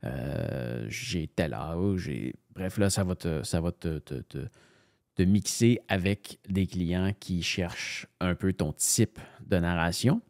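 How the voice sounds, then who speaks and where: A man with a very low, gravelly voice and a Canadian French accent talks casually, thinking aloud with frequent fillers and repeated starts. The sound is dry and close, with no room around it. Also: slow, a little mellow, dry recording. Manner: rambling, many fillers and restarts, thinking aloud. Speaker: voice-over narrator. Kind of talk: casual screen-share commentary.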